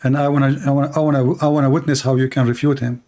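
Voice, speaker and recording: A man's voice: medium pitch, flat delivery, rapid-fire, warm tone. A man who speaks Arabic as his first language, hosting a live call-in show on religion and questioning a caller in an insistent, repetitive way. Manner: insistent, questioning, repeats himself. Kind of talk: conversational religious debate with a caller.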